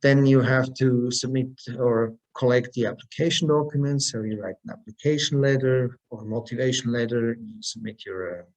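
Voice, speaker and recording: A man speaking as an embassy representative in a webinar, presenting information in a measured, explanatory and promotional way. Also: mid-range voice, muffled recording.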